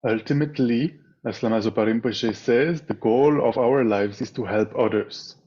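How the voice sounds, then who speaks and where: A man leading a guided meditation as a teacher, speaking in a measured way with pauses between short phrases over a slightly dull video call. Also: medium pitch, warm tone. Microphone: video call microphone.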